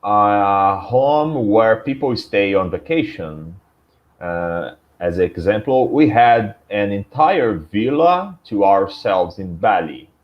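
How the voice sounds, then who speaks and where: Slow Brazilian man reading haltingly. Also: lowish voice, lively intonation, dull audio. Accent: Brazilian Portuguese. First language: Portuguese. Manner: hesitant, reads aloud haltingly. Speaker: student.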